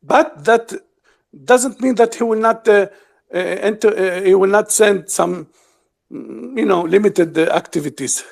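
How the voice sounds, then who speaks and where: This is a high-pitched man from Lebanon talking as a guest analyst. He speaks haltingly, searching for words and filling pauses with many ums and uhs. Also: gravelly. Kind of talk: casual discussion.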